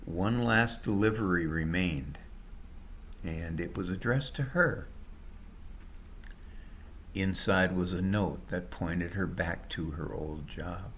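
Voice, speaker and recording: A man's voice: low-pitched, takes it slowly, pauses often, fairly bright tone. A man reading his own written story aloud as a scripted narration. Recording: noticeable background noise.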